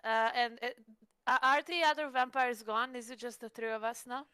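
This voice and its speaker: A woman's voice: a little high, normal speed, bright, forceful tone, clear, resonant tone, dry recording, clean studio sound. A woman chatting casually as a player in a tabletop roleplaying game. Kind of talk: casual in-character and table chat.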